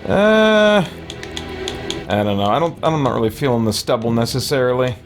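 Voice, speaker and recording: A man with a mid-pitched voice hosts a casual let's play. He muses aloud in an unscripted way while game music plays clearly behind him. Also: lively intonation, normal speed. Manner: thinking aloud, musing. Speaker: gaming host, let's player. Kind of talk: casual off-the-cuff gameplay commentary.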